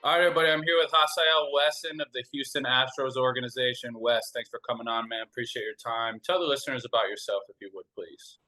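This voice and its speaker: A man hosting an interview podcast, chatty and friendly, with drawn-out phrasing. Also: medium pitch, fairly even intonation, pushed and bright, poor audio. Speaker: podcast host.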